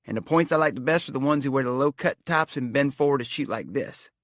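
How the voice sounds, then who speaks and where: A man's voice: mid-range voice, fast, no echo, clean studio sound, voice pushed up, lightly acted. A man voicing a peppy little carnival shooting gallery man in his seventies with folksy, leering banter.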